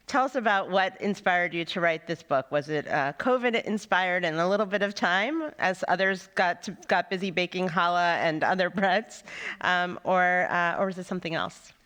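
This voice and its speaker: Rabbi hosting a stage interview, smooth resonant voice, halting questions. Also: medium pitch, fairly bright tone. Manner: searching, halting with fillers. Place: synagogue hall, live audience.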